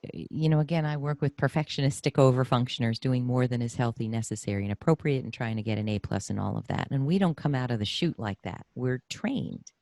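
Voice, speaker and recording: A middle-aged woman hosting a conversational interview, animated and effusive as she thinks aloud. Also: rumbling low, fairly quick, hardly any pauses, no echo, clean studio sound. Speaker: podcast host and interviewer.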